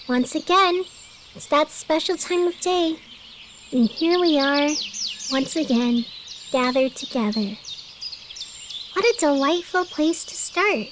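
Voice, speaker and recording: A woman's voice: extremely high pitch, noisy background, heavily acted. A woman playing a perky, whimsical radio host of a strange fictional city, narrating theatrically in a scripted podcast. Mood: cheerful. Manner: whimsical, theatrical, alliterative storytelling. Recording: music under the voice. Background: music.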